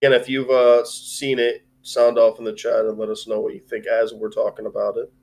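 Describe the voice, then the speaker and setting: A man's voice: mid-range voice, monotone, a little fast, creaky, darkish tone. A man co-hosting a live stream in casual, slangy chat.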